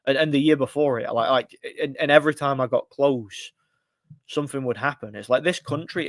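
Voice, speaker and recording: A man's voice: mid-range voice, dry recording. A man in his thirties talking boxing on a casual live stream, rambling with many restarts and giving blunt, frustrated opinions. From United Kingdom. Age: 30s. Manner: rambling, frequent restarts and fillers. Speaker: livestream host.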